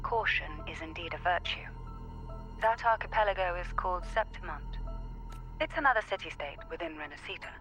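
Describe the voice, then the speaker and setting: A woman's voice: on the low side, animated, fairly quick, fairly bright tone, faint music behind. A woman playing a refined, knowing noblewoman in a video game, talking formally and teasingly through a call filter. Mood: measured. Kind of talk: video game character acting.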